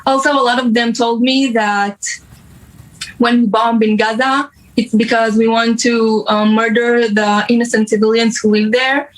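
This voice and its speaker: A young woman giving an unscripted interview answer, halting as she searches for words. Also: on the high side, fairly even intonation, low-quality recording. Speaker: news interviewee, youth activist.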